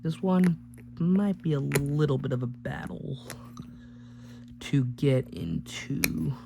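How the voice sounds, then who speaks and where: A man's voice: mid-range voice, slow. A man hosting a teardown video with casual, rambling commentary and offhand joking remarks as he works. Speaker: host of a gadget teardown video. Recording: clearly noisy recording with hum.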